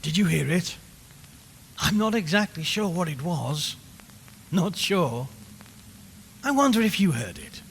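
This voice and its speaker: An actor playing an unnerved radio reporter in an audio horror drama, rambling and repeating himself with forced cheer as he slowly loses his nerve. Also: on the high side, fairly bright tone, exaggerated voice. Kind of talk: audio horror drama, live broadcast monologue. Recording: long hollow reverb, clear background noise.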